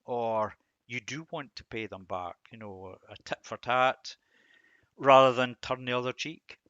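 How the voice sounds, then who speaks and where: A man giving an informal devotional commentary on verses, musing and halting, with self-deprecating asides. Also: medium pitch, sharp tone, no room sound, lo-fi sound. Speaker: host of a Bible reading series.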